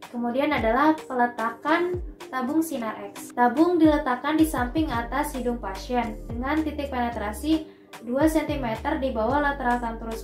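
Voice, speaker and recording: A young woman narrates a scripted instructional demonstration, explaining each step politely and carefully. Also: sits high. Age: young adult. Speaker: dental student demonstrating a procedure. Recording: echoey room with music behind.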